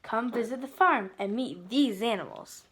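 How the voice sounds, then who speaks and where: A woman reading a simple children's book aloud in a measured, deliberate way. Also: a little high, animated, fairly bright tone. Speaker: picture book reader.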